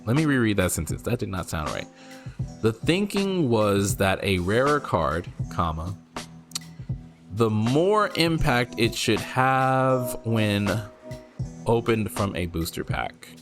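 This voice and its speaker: A man's voice: on the low side, slow pace. Man reacting to card art, music under. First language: not English. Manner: thinking aloud, rereads lines, sudden excited outbursts. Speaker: card game content creator. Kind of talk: casual commentary reacting to and reading an article.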